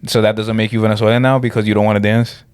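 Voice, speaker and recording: A young man from the Dominican Republic co-hosting a podcast, talking in a relaxed, rambling, slangy way with lots of fillers. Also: lowish voice, rapid-fire, clear, resonant tone, no room sound. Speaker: podcast co-host. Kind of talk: casual podcast chat.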